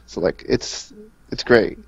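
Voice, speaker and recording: A man's voice: slow pace, no echo, highs cut off. A man in a casual gaming discussion, inquisitive and checking what others mean.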